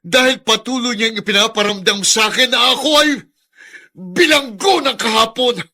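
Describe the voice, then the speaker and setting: A man's voice: very high voice, expressive, sharp tone, exaggerated voice. Sorrowful, pleading ex-convict father. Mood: anguished pleading. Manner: halting, pleading, emotional delivery. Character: sorrowful, self-sacrificing middle-aged ex-convict father. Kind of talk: Filipino radio drama acting.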